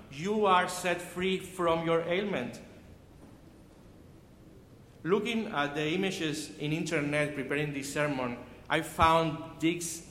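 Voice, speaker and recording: A man with a Cuban Spanish accent delivers a sermon slowly and earnestly, his voice a little high for a man. He often stops and restarts words as he reaches for them in English. The recording is roomy, with long reverb from a church sanctuary heard from some distance. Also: a bit bright, a little hiss or hum. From Cuba. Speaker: preacher. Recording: echoey hall from far off.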